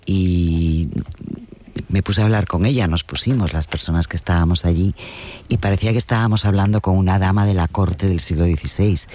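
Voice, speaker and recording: A man's voice: low-pitched, fairly even intonation, brisk, darkish tone, slight background noise. A man interviewing a writer, asking long, musing questions that often end with a tag question. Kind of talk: conversational interview.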